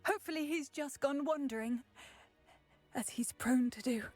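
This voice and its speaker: High-voiced grieving widow, acted by a woman. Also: normal speed, darkish tone, lightly acted. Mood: distraught. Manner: anxious, sorrowful. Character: grief-stricken widowed mother, anxious, gentle villager witch. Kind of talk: video game character dialogue. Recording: music behind the voice.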